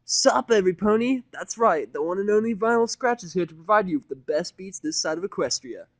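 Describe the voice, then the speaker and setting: A man voicing a showy, enthusiastic female pony DJ making loud announcements in a story reading. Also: high voice, lively intonation, brisk, dry recording, pitched above the performer's own voice. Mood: excited. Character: brash, enthusiastic female pony DJ hyping a crowd.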